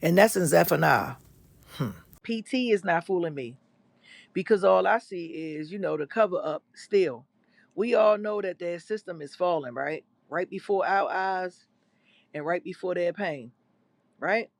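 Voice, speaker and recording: A man giving casual vlog commentary in a preachy way, quoting scripture with drawn-out asides. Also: sits high, vocal fry, no echo. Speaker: vlogger.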